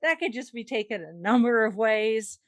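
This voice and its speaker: A woman's voice: a little high, lively intonation, normal speed, no room sound. A woman hosting a podcast, reading out fandom news in a casual, wry and playful way with chuckles. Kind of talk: casual chat.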